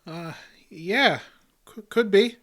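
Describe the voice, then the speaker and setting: A man's voice: high-pitched, takes it slowly, a bit bright, full character voice. An actor playing a folksy, punch-drunk custodian in a comic radio play, jittery and echoing the ends of his own phrases. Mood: puzzled. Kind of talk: old-time radio comedy acting.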